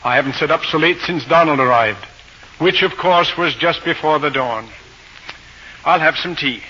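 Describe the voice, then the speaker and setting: A pompous, grumbling head of the household in an old radio drama. He is very sharp and forceful, rather high for a man, and wordy with dry sarcasm. The recording is dull with faint noise. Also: moderate pace. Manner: pompous, dryly sardonic, wordy. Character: pompous, grumbling middle-aged father of the household. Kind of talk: 1940s radio acting.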